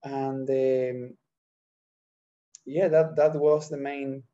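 A man's voice: mid-range voice, level tone, mellow. Argentine man on a dull video call. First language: Spanish. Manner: halting, searching for words. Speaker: entrepreneur, podcast guest. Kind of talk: interview answers. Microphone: video call microphone.

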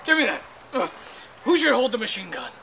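A man's voice: very high-pitched, very animated, fairly quick, creaky, pushed and bright. A man in his twenties doing improvised comedy and casual chat, physically active as he acts things out outdoors. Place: outdoors on a park lawn. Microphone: camera a few meters away. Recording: noisy outdoor camera audio.

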